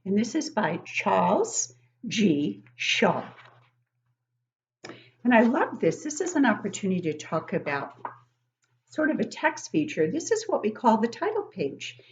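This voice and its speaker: An older woman reads a picture book aloud to young children like a teacher, expressively, pausing to ask them questions. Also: medium pitch, big pitch swings, lo-fi sound, a little hiss or hum. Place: living room at home, indoors.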